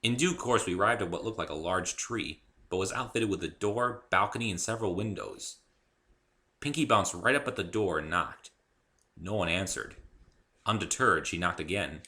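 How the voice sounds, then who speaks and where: A man's voice: low voice, moderate pace, pushed and bright. A man reading his own story aloud as a dramatic first-person narration.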